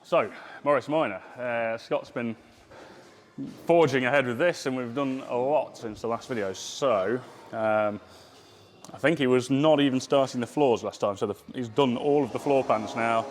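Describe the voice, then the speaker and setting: A man with a cold and a hoarse voice, casually walking through a car build in a workshop with plenty of ums. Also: mid-range voice, moderate pace, soft background music. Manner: relaxed, lots of ums.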